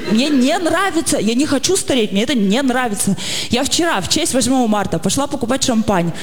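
A woman's voice: high-pitched, a little fast, a faint crowd. Self-mocking woman in her forties on stage. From Russia. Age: 40s. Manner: self-mocking, lively, chatty. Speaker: stand-up comedian. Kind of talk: stand-up comedy monologue. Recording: long hall reverb, audience noise.

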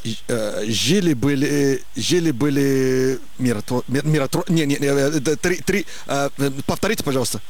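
A man's voice: medium pitch, moderate pace, hardly any pauses, lightly acted. A man putting on a Nigerian accent and broken Russian to play a cheerful, bumbling job applicant who stammers and mangles words. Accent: put-on Nigerian accent in broken Russian. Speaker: prank caller.

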